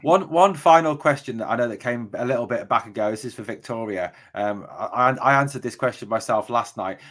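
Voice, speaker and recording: A man hosting a casual fan podcast, speaking haltingly with many fillers and restarts. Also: medium pitch, a bit bright. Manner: halting, lots of fillers and repeated starts. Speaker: podcast host.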